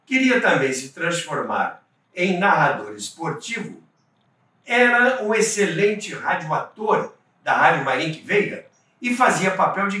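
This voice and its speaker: A Brazilian man narrating as the host of a radio history show, speaking in an emphatic, deliberate way. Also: a little high, pushed and bright. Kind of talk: storytelling narration. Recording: echoey room, distant from the mic.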